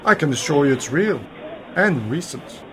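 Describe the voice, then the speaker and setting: A man playing an eccentric, knowing uncle and adventurer in an amateur science-fiction radio play, brisk and dismissive. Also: fairly high pitch, lively intonation, fairly bright tone. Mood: brisk, insistent. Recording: faintly noisy home-made radio play recording.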